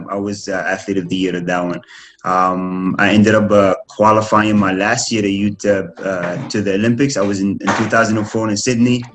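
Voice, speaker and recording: A man's voice: fairly low pitch, fairly bright tone. Guy in his thirties, polite and laughs easy. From Kuwait. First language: Arabic. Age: 30s. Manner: polite, keeps saying yes sir, laughs often. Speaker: guest, former runner and coach. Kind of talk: casual interview answers.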